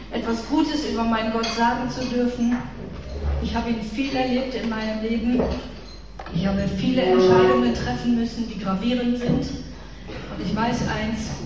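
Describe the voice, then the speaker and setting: A middle-aged woman with a northern German accent giving a chatty, informal faith talk to a women's church gathering, speaking to her listeners directly and personally. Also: fairly high pitch. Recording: echoey hall from far off with room noise.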